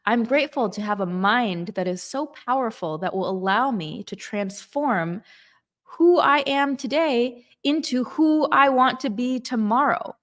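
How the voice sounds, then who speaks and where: A woman giving a slow, emphatic motivational talk on manifestation and gratitude. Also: on the high side, fairly bright tone. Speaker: manifestation coach.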